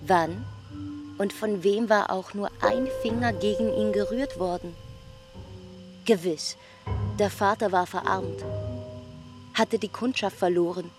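An actress playing a coolly questioning, dismissive voice of officialdom in a literary radio drama. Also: female voice, mid-range voice, takes it slowly, pauses often, close to an everyday voice. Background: music.

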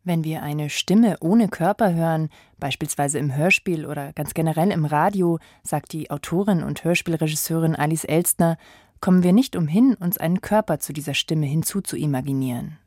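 A woman reads a scripted radio feature in a measured, reflective way. Her voice sits very low for a woman. The studio sound is close and dry, with no room around her. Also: darkish tone, no echo. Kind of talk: scripted narration.

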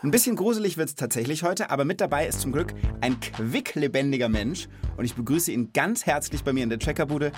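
A man's voice: a little high, animated, a little fast, hardly any pauses, music in the background. A man in his thirties hosting a children's podcast, explaining things in an informal, chatty, conversational way.

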